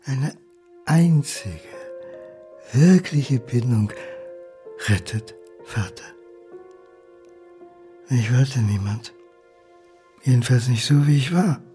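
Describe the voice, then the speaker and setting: With music behind him, a lonely, rejected son. He speaks in a halting, wounded monologue, dragging the pace and swinging widely in pitch, as if pleading to an absent parent. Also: lively intonation, dark tone. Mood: bitter, hurt. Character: wounded, abandoned illegitimate son, lonely grown man, pleading. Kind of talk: dramatic monologue, radio play.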